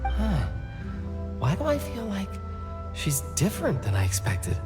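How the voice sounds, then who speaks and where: A flustered, eager street-food vendor, stammering apologies before earnestly explaining, with a mid-range voice that swings lively in pitch. Game music plays clearly behind. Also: a little slow. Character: nervous snack-stall vendor, eager and flustered. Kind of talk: game cutscene voice acting. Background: background music.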